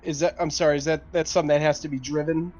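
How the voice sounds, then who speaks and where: A man playing a tabletop roleplaying game, talking casually and haltingly, partly in character. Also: on the high side, little pitch movement, a little mellow, lo-fi sound. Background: background music.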